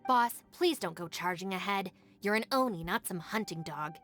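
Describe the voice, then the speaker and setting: A woman's voice: mid-range voice, expressive, moderate pace, fairly bright tone, faint music behind, close to an everyday voice. A woman voicing a sensible young female gang deputy in a video game, dry, sardonic and composed. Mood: wary.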